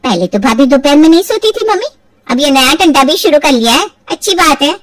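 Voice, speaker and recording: A woman's voice: sits high, very animated, brisk, far from the mic. A woman voicing an accusing, sarcastic, overdramatic married daughter in an acted storybook. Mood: sarcastic, complaining. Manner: sharp-tongued, dramatic, sarcastic. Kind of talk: acted Hindi audio storybook.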